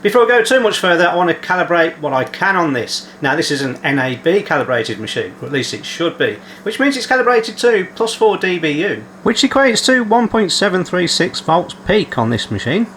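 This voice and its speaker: Man with very lively pitch, technical commentary. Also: a little fast, hardly any pauses. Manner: muttering step-by-step, thinking aloud. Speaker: repair channel host. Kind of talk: hands-on repair commentary. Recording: clearly noisy, steady hum behind.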